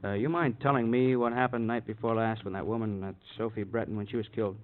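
Man playing a fast-talking sardonic radio cop. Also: on the low side, steady tone, lightly acted. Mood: needling. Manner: clipped, casual, drily needling. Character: breezy, sardonic, talkative police detective sidekick. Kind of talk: 1950s radio crime drama acting. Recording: old radio, phone-like band, faint noise.

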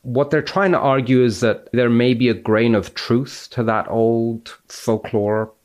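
A man speaking as an expert guest, explaining and reading out research in a measured, precise way. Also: mid-range voice, steady tone, darkish tone.

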